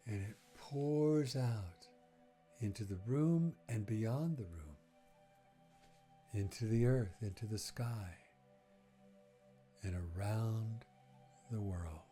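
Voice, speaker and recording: A man leading a guided breathing meditation, speaking gently in short phrases with long, unhurried pauses. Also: lowish voice, faint music behind.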